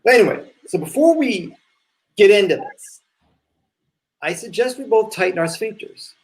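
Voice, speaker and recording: A man playing an earnest, preachy brother in a live play reading, deliberate and insistent. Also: sits high, expressive. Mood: earnest, instructive.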